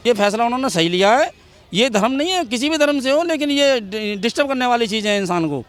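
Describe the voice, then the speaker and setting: A man's voice: very high-pitched, expressive, a little fast, a bit bright. A man giving his opinion in a street interview outdoors, speaking emphatically and repeating his points. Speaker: member of the public giving opinion.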